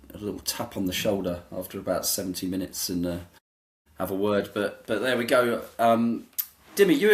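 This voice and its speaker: A man hosting a podcast interview, conversational, with questions that meander and hesitate as he puts them. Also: on the low side. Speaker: podcast host.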